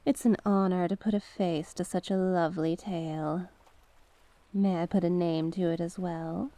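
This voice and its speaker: A woman's voice: on the low side, steady tone, normal speed, dark tone, no echo, lightly acted. A woman playing a mysterious sea witch in an ASMR roleplay, coaxing and sly, speaking with genteel courtesy and terms of endearment.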